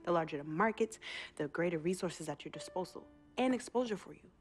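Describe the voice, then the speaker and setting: A woman's voice: on the low side, lively intonation, fast talker, natural voice. An actress playing a direct, no-nonsense young woman who manages her twin brother, in a video game cutscene.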